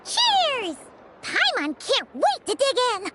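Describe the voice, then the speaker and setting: A woman's voice: very high-pitched, wildly swooping pitch, takes it slowly, full character voice. A woman voicing a tiny, cheerful fairy companion who talks about herself in the third person, in a video game. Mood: cheery. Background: game music.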